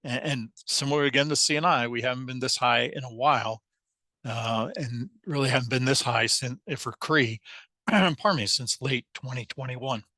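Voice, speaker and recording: A man presenting slides in a webinar, hoarse and losing his voice, halting with restarts and fillers. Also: medium pitch, bright, forceful tone, dry recording.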